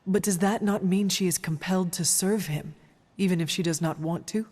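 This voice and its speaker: A woman playing an earnest, solemn warrior heroine in a video game, speaking in a formal, measured way. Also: lowish voice, darkish tone, big-room sound, lightly acted. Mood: thoughtful. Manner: formal, measured, avoids contractions. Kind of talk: video game character acting.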